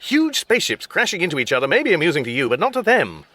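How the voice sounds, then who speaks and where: Fussy english dad, exasperated, bright voice up and down all over, radio comedy. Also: male voice, on the high side, wildly swooping pitch, fast, no echo, exaggerated voice. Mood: testy. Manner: exasperated, dry, pedantic. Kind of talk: radio comedy drama acting.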